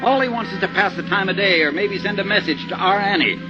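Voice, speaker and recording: A man's voice: high-pitched, quick pace, natural voice. A man playing an anxious middle-aged husband driving at gunpoint, in folksy, tense 1950s radio suspense style. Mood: urgent placating. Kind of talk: 1950s radio suspense acting with first-person narration. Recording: muffled old radio recording with music behind.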